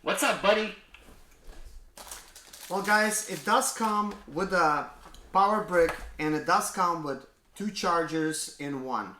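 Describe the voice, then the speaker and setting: Bright-voiced man, excited unboxing vlogger at home, echoey room with faint hiss. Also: high voice, slow pace. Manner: excited, exclaims often. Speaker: mobile mechanic YouTuber. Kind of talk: casual unboxing vlog. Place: indoors at home.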